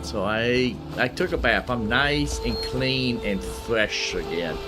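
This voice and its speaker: Guy doing casual gaming commentary, average pitch, kinda rambly, repeats himself, music going behind him. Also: animated, slow. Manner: rambling, thinking out loud, repeats phrases. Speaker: host of a video game roleplay playthrough.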